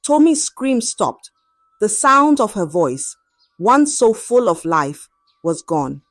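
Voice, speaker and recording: A woman telling a dramatic scripted story in an expressive, measured and suspenseful way. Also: mid-range voice, no room sound, studio quality.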